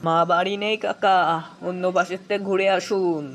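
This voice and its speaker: A man's voice: high voice, little pitch movement, moderate pace, a little hiss or hum. A man voicing a cheeky, teasing boyish prankster in a comedy cartoon dub. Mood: deadpan.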